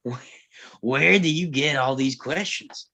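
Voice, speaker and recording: An actor playing a guarded, gruff Old West lawman in a table read, speaking tersely and grudgingly with some hesitation. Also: medium pitch, a little slow, bright, forceful tone, heavily acted. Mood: exasperated, earnest. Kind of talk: live table read acting of a western screenplay.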